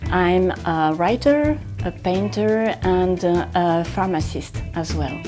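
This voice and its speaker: A woman's voice: fairly low pitch, lively intonation, slow, over loud music. A woman from France with a French accent, a native French speaker introducing herself in an interview. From Grenoble, France. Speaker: interviewee, pharmacist and writer. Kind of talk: first-person interview.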